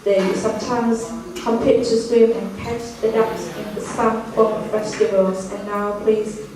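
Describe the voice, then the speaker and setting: A woman's voice: mid-range voice, flat delivery, unhurried, crowd noise. Echoey, distant woman announcer. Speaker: show announcer. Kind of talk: scripted stage announcement in English. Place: echoey theatre, heard through the audience's distant camera. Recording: very echoey hall, captured from far off.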